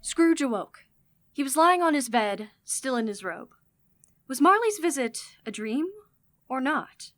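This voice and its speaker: A woman narrating a scripted radio drama in a measured storytelling way. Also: high-pitched, big pitch swings. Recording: loud music behind.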